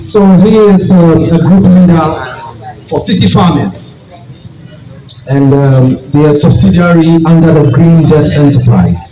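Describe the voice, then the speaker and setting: A man introducing speakers at an event in a formal, halting way. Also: on the high side, a crowd in the background. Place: event venue with audience. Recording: distant, echoey room with faint music.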